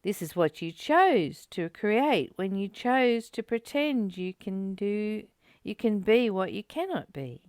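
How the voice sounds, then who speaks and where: A woman's voice: medium pitch, expressive, slow, darkish tone, no room sound, clean studio sound. A woman hosting a spiritual study, reading and commenting in an earnest, contemplative, affirming manner.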